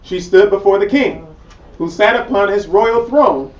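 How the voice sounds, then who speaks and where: A man reading scripture aloud in a study group, steady and plain. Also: high-pitched, unhurried. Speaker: scripture reader. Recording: echoey, distant lo-fi room recording.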